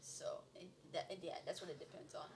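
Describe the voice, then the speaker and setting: A woman guest in a casual conversation who talks in an animated way, laughs easily and fills her speech with "like" and "you know.". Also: on the low side, quick pace, a little hiss or hum. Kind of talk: casual podcast chat.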